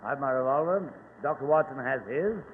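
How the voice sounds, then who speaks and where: An actor playing a steady English police inspector with a British accent in a 1940s radio drama. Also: male voice, moderate pace, big-room sound, narrow, tinny audio, a little hiss or hum, close to an everyday voice. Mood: businesslike. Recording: boomy, thin old radio recording.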